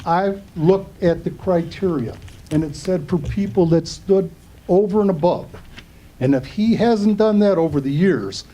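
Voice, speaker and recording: A man's voice: fairly high pitch, dark tone, a little hiss or hum, rough recording. An older man giving public comment at a council meeting, rambling and earnest, a bit aggrieved. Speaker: resident speaking at public comment.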